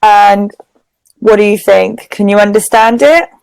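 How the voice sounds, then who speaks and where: A woman's voice: medium pitch, measured pace, vocal fry, darkish tone, smooth, no echo, poor audio. A woman from England with a British accent, chatting casually as an online English tutor and using simple phrasing for a learner. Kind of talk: casual conversational lesson. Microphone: video call.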